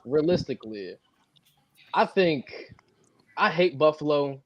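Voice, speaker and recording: A man in his twenties joining a casual sports podcast, animated and profane, drawling some phrases. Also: on the high side, slow pace, dry recording, dull audio. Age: 20s. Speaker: podcast co-host.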